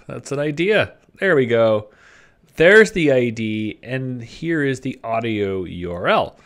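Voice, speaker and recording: A man with a mid-pitched voice walks through a software tutorial. His intonation rises and falls in a lively way. He talks informally and conversationally, thinking aloud as he demonstrates each step. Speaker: presenter of a web development tutorial, designer. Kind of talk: informal screen-share tutorial narration.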